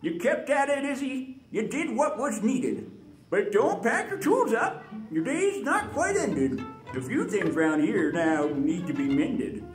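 A man playing a gentle, encouraging old grandfather in a live storybook radio drama. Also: high-pitched, big pitch swings, a little slow. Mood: proud, kindly. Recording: echoey live room with music.

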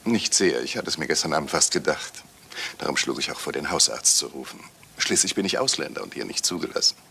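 A man's voice: extremely low pitch, animated, fast talker, creaky, sharp tone, slightly rough, heavily acted. A man playing a polite, formal, evasive Italian doctor under suspicion in a German radio crime drama. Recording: slightly hissy old radio play recording.

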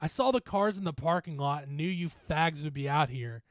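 A man's voice: a little high, moderate pace, no echo, studio quality, close to an everyday voice. A man voicing a drunk, belligerent young male bully in a story, crude and aggressive. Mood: drunken taunting. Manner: taunting, aggressive, shouting.

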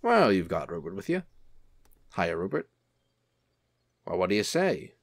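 An actor plays a charming angel in an audio drama. His voice is on the low side with lively pitch movement, and his manner is unruffled and reassuring. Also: measured pace, no room sound, clean studio sound, exaggerated voice. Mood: friendly, encouraging. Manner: smooth, easygoing, reassuring. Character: charming, unruffled angel posing as bishop's assistant.

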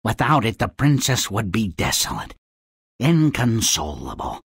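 A man's voice: fairly low pitch, wildly swooping pitch, measured pace, fairly bright tone, husky, no echo, studio quality, full character voice. A man voicing a courteous, grateful elder king in a fairy-tale video game, formal and stately.

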